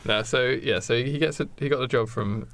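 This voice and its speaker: Male co-host, plenty of vocal fry, average pitch, casual and hesitant, thinking out loud. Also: fairly quick. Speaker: podcast co-host. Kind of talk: casual improvised chat.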